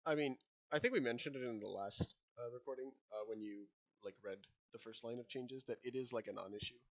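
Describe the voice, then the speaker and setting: A man's voice: medium pitch, fairly quick. A young man co-hosting a casual book podcast, talking in a rambling way with lots of fillers and frequent laughs. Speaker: podcast co-host.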